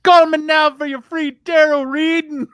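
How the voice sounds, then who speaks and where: A man's voice: extremely high pitch, level tone, moderate pace, fairly bright tone, dry recording, dull audio. A man who drops in on a podcast to tease a co-host, talking in mocking, playful banter. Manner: teasing, mocking. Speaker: podcast regular. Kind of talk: casual banter.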